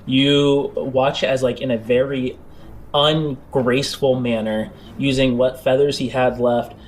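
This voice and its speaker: A man's voice: mid-range voice, little pitch movement, a little slow, low-quality recording. A man improvising the narration of a tabletop role-playing game as game master. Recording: clear background noise.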